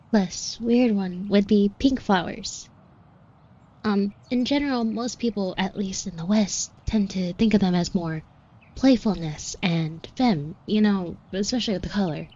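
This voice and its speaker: A woman's voice: medium pitch, a little mellow. A woman playing a shy, flustered florist who talks to the listener in a hesitant, colloquial, self-deprecating way in an audio roleplay. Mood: nervous, rambling. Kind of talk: scripted roleplay acting addressed to the listener. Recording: dull muffled audio with clear noise. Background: shop ambience sound effects.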